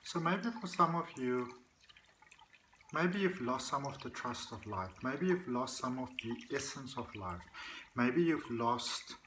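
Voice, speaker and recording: A man leading a guided meditation, speaking to the listener in a deliberate, soothing way with repeated phrases. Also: medium pitch, animated, a bit bright, slight background noise, rough recording. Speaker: guided meditation practitioner and host.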